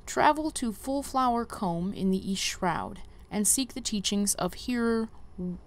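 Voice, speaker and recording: A woman voicing a formal, wise guildmaster mentor from a fantasy game, reading the quest dialogue in a stately, measured way. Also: mid-range voice, natural voice. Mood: solemn, encouraging. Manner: stately, measured, archaic phrasing.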